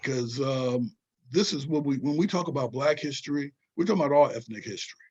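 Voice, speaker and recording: A man's voice: mid-range voice, brisk, a little mellow, slightly rough, dull audio. An older man from Southern California, a historian being interviewed, who talks in emphatic, digressive runs and keeps checking in with "right?" and "you know?". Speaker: guest, historian and author. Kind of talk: interview conversation.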